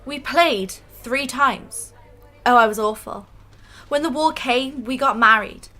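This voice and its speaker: Radio drama role: a woman as an earnest young female fan, high and bright, hesitant and self-effacing. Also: slow pace, exaggerated voice. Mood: wistful, earnest. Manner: hesitant, stammering.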